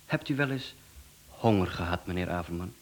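A mysterious male caller in a radio drama, with a Flemish accent and a husky voice. He is down on his luck, courteous yet evasive, and keeps insisting on being heard. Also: sits low, animated, moderate pace. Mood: pleading, evasive. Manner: polite but evasive, insistent. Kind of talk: radio play acting.

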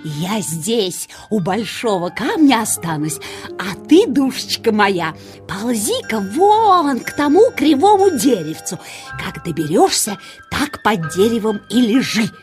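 Slow sly tortoise, lively higher voice, soft music behind. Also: female voice. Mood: scheming. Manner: calm, gently sly, unhurried. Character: sly, kind older female tortoise. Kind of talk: children's audio play acting.

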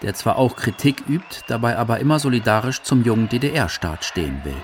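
A man's voice: mid-range voice, expressive, normal speed, slight background noise. A man narrating a scripted radio documentary. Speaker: documentary narrator and quote reader.

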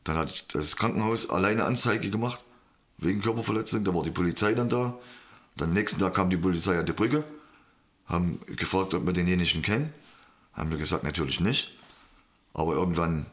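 A man's voice: deep voice, fairly quick. A man being interviewed, giving a casual, plain-spoken personal account in a matter-of-fact way.

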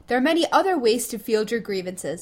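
An actress playing a level-headed young adult daughter who calmly reasons and lectures her father in an audio play. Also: on the high side, drawn-out words, exaggerated voice. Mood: reasoning.

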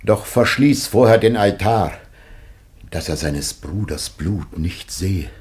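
An older man acting in a radio drama as a grieving, solemn old patriarch facing death, husky and gravely declamatory. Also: low voice, lively intonation, takes it slowly, darkish tone, full character voice. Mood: grave resignation.

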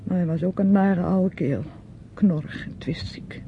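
An actress playing a guarded, weary young niece in a radio play, speaking with restraint. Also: female voice, fairly low pitch, moderate pace, lightly acted. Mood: weary confession. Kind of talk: radio drama acting. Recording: dull, noisy old radio play recording.

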